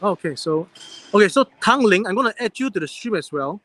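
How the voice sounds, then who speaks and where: Chatty higher-voiced man hosting. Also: lively intonation, fast talker, a bit bright. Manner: inquisitive, chatty, asks probing questions. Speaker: live stream host. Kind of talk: casual interview chat. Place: airport waiting area at night.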